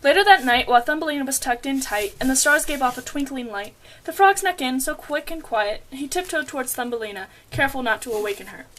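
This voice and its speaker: A woman's voice: high voice, lively intonation, fast, a bit bright, rough recording. A young woman reading scripted storybook narration, occasionally stumbling over her words. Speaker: puppet show narrator.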